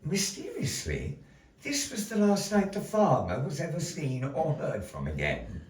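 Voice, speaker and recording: A man telling a dark fairy tale live in a florid, dramatic manner, with deliberate pauses. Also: fairly high pitch, huge pitch swings. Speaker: storyteller in a puppet show. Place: indoor gallery performance space. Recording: echoey room, distant mic.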